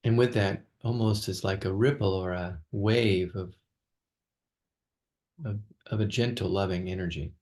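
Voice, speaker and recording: A man leading an unscripted guided meditation as a teacher, speaking haltingly with long pauses and repeated words. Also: low voice, steady tone, darkish tone.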